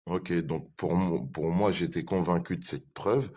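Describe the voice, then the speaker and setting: A man's voice: low-pitched, a little slow, dark tone, slightly rough. A man in a live online debate, defending his view hesitantly, repeating himself and reading verses aloud.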